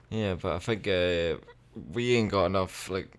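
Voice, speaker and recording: Low-voiced Scottish man rambling over a game. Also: slight background noise. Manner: sweary, rambling. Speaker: gaming video creator. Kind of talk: casual game commentary.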